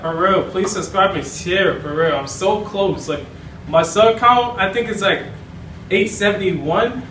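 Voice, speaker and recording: A man's voice: high-pitched, fairly bright tone. A man hosting a casual how-to video, rambling with restarts, recorded in an echoey room from a distance with faint hiss. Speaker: YouTuber.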